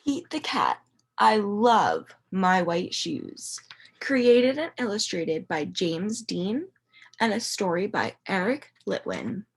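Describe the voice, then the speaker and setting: Female primary teacher doing an interactive storybook read-aloud; unhurried, slightly husky, expressive, with dramatic emphasis for young listeners. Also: medium pitch. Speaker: teacher reading a picture book aloud to young children. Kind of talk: interactive children's read-aloud, asking questions.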